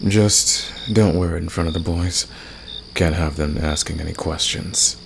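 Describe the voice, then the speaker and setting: A man playing a confident, flirtatious and secretly protective fantasy villain in an ASMR romance roleplay, gravelly and intimate, with a teasing, smug manner. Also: fairly even intonation, a little slow. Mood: smug, seductive. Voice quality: very deep, gravelly. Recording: clear background noise, night cricket ambience.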